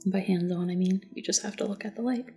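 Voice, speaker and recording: A soft, breathy woman gently giving calm, reassuring instructions in an ASMR roleplay, often dropping to a whisper. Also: mid-range voice, fast, soft background music. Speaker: ASMR roleplayer as a test examiner. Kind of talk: soft-spoken ASMR personal attention roleplay.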